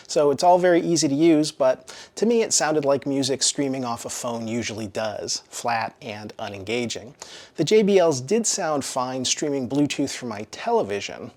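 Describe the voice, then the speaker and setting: A man's voice: medium pitch, a little mellow. A man narrating a scripted product review as the reviewer. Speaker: audio equipment reviewer.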